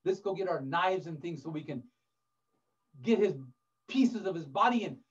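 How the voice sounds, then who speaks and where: A man's voice: sits high, animated, normal speed, highs cut off. A man voicing a gleeful, scheming poacher in a children's story, gloating in a sing-song way.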